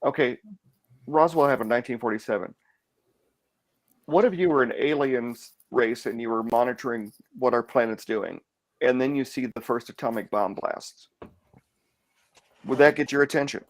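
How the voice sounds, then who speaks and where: Male panelist, noticeable vocal fry, dry close sound, informal conversational talk. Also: mid-range voice, moderate pace, long pauses, no echo. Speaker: podcast panelist. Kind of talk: casual panel discussion.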